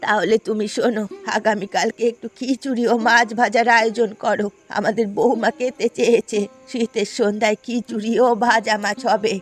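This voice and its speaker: A woman's voice: on the high side, fairly even intonation, normal speed, few pauses, natural voice. A woman voicing an affectionate but aggrieved older village mother in a cartoon fairy tale. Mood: warm, explaining. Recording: music under the voice.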